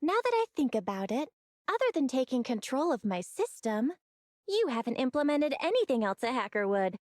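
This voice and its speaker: Game heroine: plucky, clever young sister, high voice, close dry sound, measured confidence. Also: female voice, very animated, a little mellow, dry recording. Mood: probing, calm. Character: young sister, shrewd, composed, plucky underground operator. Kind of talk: English video game voice acting.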